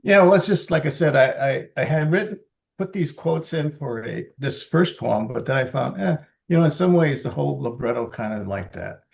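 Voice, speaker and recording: A man in his seventies from Los Angeles reads his own poems slowly and deliberately, with halting, chatty asides between them. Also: medium pitch, lively intonation, vocal fry, highs cut off. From Los Angeles, USA. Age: 70s. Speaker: poet reading his libretto. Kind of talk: poetry reading with casual asides.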